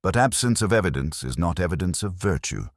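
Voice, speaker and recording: A man voicing a prim, disapproving older gentlewoman in a formal, roundabout manner, as part of an audiobook story. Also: deep voice, big pitch swings, a little fast, no echo, clean studio sound, voice pushed up. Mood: prim disapproval. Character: genteel, disapproving widow's cousin, a prim older woman.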